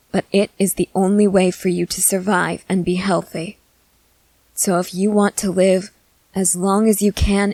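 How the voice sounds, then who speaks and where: A woman voicing a protective, controlling century-old female vampire in measured, formal phrases for an ASMR roleplay. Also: fairly low pitch, a little mellow, no echo, poor audio. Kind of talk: scripted ASMR audio roleplay.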